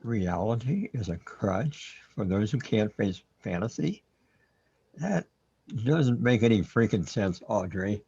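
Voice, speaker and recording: A resigned, bewildered hero stuck in the afterlife, played by a man in a sci-fi radio play. The voice is husky and fairly low, and the sound is slightly muffled. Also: expressive, takes it slowly, darkish tone, full character voice. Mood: exasperated. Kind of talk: scripted sci-fi radio drama.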